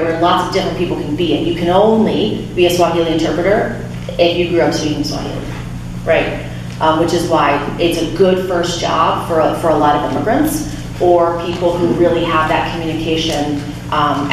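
Woman speaking in an echoey chapel. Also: low voice. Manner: chatty, many restarts and fillers. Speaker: guest speaker from a refugee resettlement nonprofit. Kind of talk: informal talk with audience questions. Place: small church chapel, speaking at a lectern. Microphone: handheld mic, recorded by a far camera. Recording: echoey chapel, distant camera, room noise.

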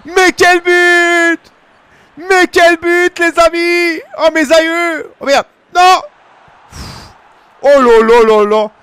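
A man in his thirties from France, streaming a football game and chatting casually with viewers, breaking into shouts at big moments. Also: very high voice, slow, pauses often, no echo. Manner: excitable, shouts at goals, chats casually with viewers. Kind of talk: live gaming commentary to chat. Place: small room at home.

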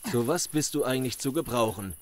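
An actor playing the cruel boss of a looter gang in an audio drama, sarcastic and commanding. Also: male voice, medium pitch, expressive, fast talker, natural voice. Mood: angry, commanding.

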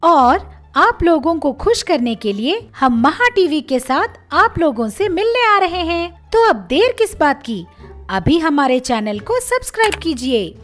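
A very high, lively cartoon daughter-in-law played by a woman, young and cheery, presenting a channel promo over faint music. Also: a little slow.

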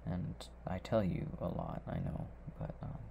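A young man playing a tender, needy, giggly boyfriend in an intimate ASMR roleplay, speaking softly and haltingly. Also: deep voice, very animated, gravelly, dull audio, a little hiss or hum, full character voice. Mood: sleepy, affectionate. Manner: soft, hesitant, halting.

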